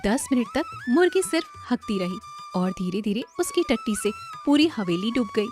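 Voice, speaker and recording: A woman's voice: a little high, big pitch swings, normal speed, darkish tone, faint music behind. A woman narrating a scripted children's story.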